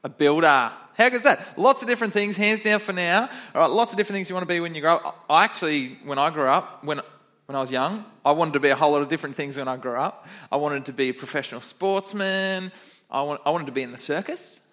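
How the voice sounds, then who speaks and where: A young man with a fairly high voice leads a children's sermon in church. He is upbeat and chatty, and he keeps stopping to quiz the kids in the room. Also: quick pace, a bit bright. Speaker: kids pastor.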